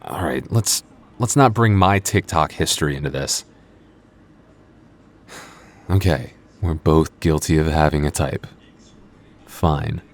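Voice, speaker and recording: A man's voice: extremely low pitch, takes it slowly, pauses often, heavily acted. A man playing a cocky, moody male vampire coworker in an intimate ASMR roleplay, teasing in a dry, flirty way. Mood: wry, cocky. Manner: smug, flirty, dry teasing with sighs and chuckles. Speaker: voice actor in audio roleplay.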